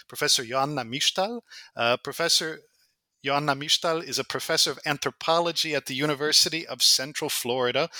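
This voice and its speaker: A middle-aged male host with a very bright, forceful tone, speaking in a polite, measured way. The sound is close and dry, with no room in it. He introduces an academic guest in a formal manner. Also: mid-range voice, no room sound. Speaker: podcast host.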